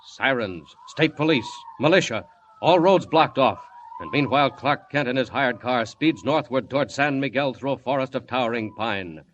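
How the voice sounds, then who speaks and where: A man's voice: mid-range voice, normal speed. Muffled, dramatic male radio serial narrator. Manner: dramatic, theatrical, declamatory. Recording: muffled, noisy old radio recording.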